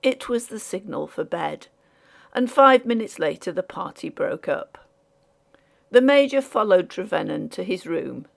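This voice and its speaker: A woman narrating an audiobook story in a measured, formal storytelling manner. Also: on the low side, animated, gravelly.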